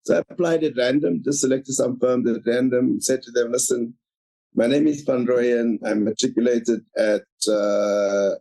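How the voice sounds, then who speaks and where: A man whose first language is Afrikaans, a guest author telling his story in a measured, reflective way in an interview. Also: mid-range voice, vocal fry, warm tone, low-quality recording.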